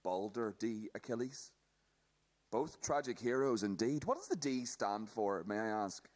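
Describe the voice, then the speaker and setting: A man's voice: medium pitch, sing-song, measured pace, darkish tone. Sardonic male precinct detective, radio play, formal and pedantic, dry delivery. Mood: dry, teasing. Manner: formal, clipped wording, dryly teasing.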